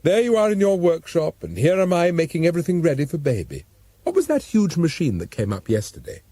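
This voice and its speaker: Audiobook role: male reader as serene, daft young wife, genteel, lifted pitch, lively swoops. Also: fairly quick, no echo. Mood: fondly content. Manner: genteel, blithely matter-of-fact. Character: placid, daft, young pregnant woman, serenely unbothered.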